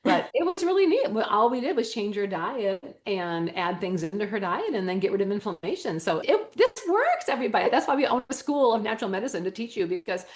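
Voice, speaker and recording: A woman's voice: medium pitch, big pitch swings, fairly quick, hardly any pauses. A woman with a Wisconsin accent explaining things enthusiastically and emphatically as an interview guest, using everyday analogies. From Wisconsin, United States. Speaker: natural medicine school owner and teacher, interview guest. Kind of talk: animated explanatory interview talk.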